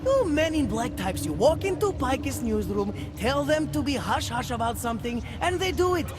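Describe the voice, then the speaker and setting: Zealous paranoid male activist, high voice, slangy rambling, video game dialogue, loud music behind. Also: very animated, normal speed. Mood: indignant, fervent. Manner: rambling, stammering, slangy conspiracy ranting. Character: paranoid, defiant male underground activist journalist, fervent idealist.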